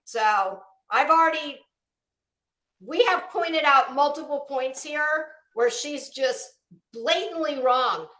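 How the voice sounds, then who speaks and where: High, bright, echoey woman commentator. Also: slow pace. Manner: scornful, blunt, emphatic. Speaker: YouTube commentary show host. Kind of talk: casual critical commentary on a video.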